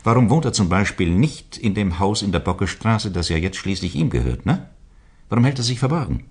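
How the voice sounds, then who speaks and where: An actor playing a firm, suspicious investigator in a German radio crime drama, with an insistent, emphatic way of questioning. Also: male voice, on the low side, sing-song, a little fast, darkish tone. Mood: suspicious, brisk.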